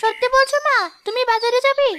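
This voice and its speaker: A young cartoon girl, as a woman puts her on. She coaxes and wheedles in a very high, childlike voice. Faint noise sits behind it. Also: steady tone, fast talker. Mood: excited. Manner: coaxing, wheedling. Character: young girl of a poor family, longing.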